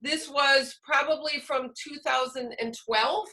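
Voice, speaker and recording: Bright, high-voiced woman giving a workshop. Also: fairly even intonation, slow, low-quality recording. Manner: explanatory, poses questions and answers them. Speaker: teacher trainer presenting an online workshop. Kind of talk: informal live presentation to teachers. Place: online video meeting. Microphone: video call microphone.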